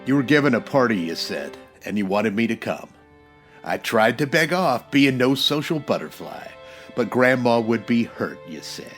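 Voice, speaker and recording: Casting: hardboiled private eye played by a man, middle-pitched, very animated, wry tough guy for radio comedy. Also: moderate pace, faint music behind, natural voice. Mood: wry narrating. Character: hardboiled male private eye, wry, brusque, world-weary. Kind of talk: old-time radio detective parody.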